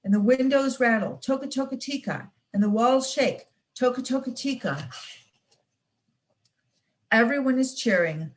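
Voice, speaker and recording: A young woman student reading a children's picture book aloud, expressive and playful with the sound words. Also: a little high, a little slow, pauses often, rough recording. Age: young adult. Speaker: student reader.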